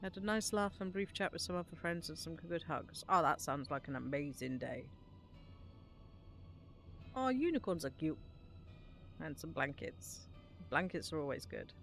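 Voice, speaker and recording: A woman's voice: deep voice, animated, moderate pace, long pauses, a bit bright. Chatty woman streamer, playful, faint music behind. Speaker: gaming live streamer. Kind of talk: casual live stream chat while playing.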